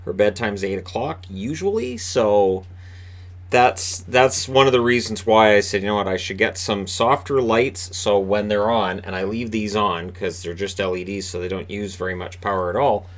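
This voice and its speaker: A man hosting a casual solo hockey recap from a room in his home, with a booming voice, talking in a rambling, chatty way with lots of fillers and restarts. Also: normal speed. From Canada. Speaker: hockey commentator and video host.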